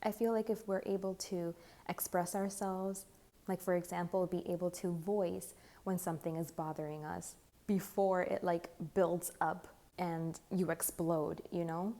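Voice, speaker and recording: A young woman, a bit hoarse, giving a rambling spiritual tarot talk full of "like" and "you know". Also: on the low side, fairly even intonation, unhurried, dark tone. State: slightly hoarse. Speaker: tarot reader. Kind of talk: channeled spiritual monologue.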